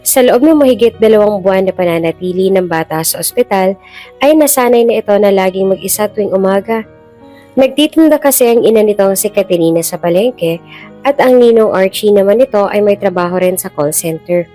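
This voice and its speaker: A woman's voice: mid-range voice, fairly quick, dark tone. A woman with a Filipino accent reading a scripted dramatic story as a storyteller. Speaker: storyteller, channel host. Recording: music bed behind the voice.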